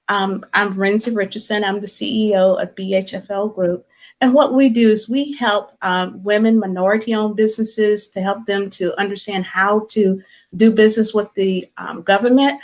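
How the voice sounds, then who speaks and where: An older woman from South Carolina, a guest speaking in a friendly, relaxed interview manner with frequent ums. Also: medium pitch. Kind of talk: casual interview talk.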